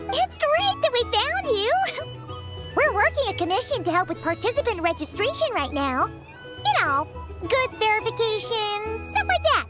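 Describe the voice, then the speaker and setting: A woman voicing a tiny, cheerful, childlike fairy companion in a video game, squeaky, excitable and cheeky. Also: normal speed. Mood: excited. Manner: excitable, refers to self by name. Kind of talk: video game character acting. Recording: loud game music behind.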